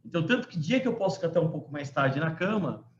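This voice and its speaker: A man in his forties delivers a law lecture over a video call, talking conversationally and tossing in little tag questions. His voice sits a bit high. The sound is roomy and somewhat muffled, with faint ambience underneath. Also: expressive, very fast, slight background noise. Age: 40s. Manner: conversational, frequent tag questions, emphatic quoting. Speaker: law professor giving a lecture. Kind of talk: online lecture to students. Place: home room. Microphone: computer mic on a video call.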